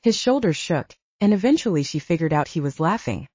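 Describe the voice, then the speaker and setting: A woman's voice: fairly low pitch, lively intonation, fairly quick, a little mellow, husky, dry recording. A woman reading scripted fiction as an audiobook narrator. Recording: dull, lo-fi audio.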